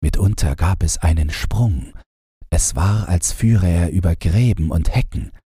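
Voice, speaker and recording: A man narrating a bedtime fairy tale from a script in a calm, measured, gentle way. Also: low voice, husky, no room sound, studio quality. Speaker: audiobook narrator.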